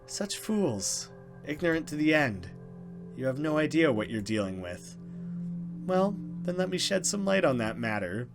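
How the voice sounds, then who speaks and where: A man's voice: fairly high pitch, big pitch swings, unhurried, creaky, over music, exaggerated voice. A man voicing a cruel, menacing monstrous woman in a horror visual novel, coarse and venomous. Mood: scornful.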